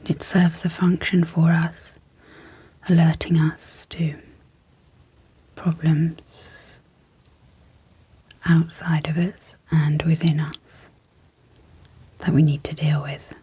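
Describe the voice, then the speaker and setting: A woman speaking in a breathy, whispery hush, gentle and measured with long pauses, guiding an ASMR sleep hypnosis as host. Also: low voice, level tone, poor audio. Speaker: ASMR host and clinical psychologist.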